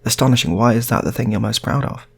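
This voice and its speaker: A man's voice: medium pitch, fast talker, vocal fry, slightly rough. A man voicing an incredulous female friend questioning someone in an improvised story. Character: astonished female friend at the pub.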